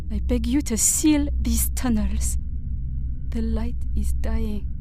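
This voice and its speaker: A woman playing a desperate explorer trapped underground, giving a solemn, literary account in a horror audio drama over clear music. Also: on the high side, mellow, a little hiss or hum, poor audio. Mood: grave, resigned. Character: desperate woman trapped in catacombs, reading her final journal confession.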